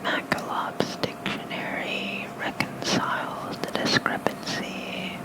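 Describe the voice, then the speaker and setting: An ASMR creator softly reading folklore aloud in a hushed, unhurried bedtime whisper. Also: poor audio.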